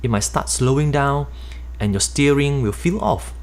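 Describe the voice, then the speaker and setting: A middle-aged man with a Malaysian accent reading his own nonfiction book aloud in a steady, explanatory way. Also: mid-range voice, moderate pace, creaky. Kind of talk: nonfiction audiobook narration.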